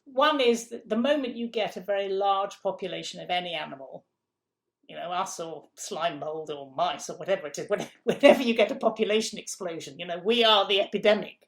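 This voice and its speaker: Woman, gravelly edges, mid-range pitch, emphatic, rhetorical questions, repeats her points. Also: brisk. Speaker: food policy guest. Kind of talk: conversational interview answer.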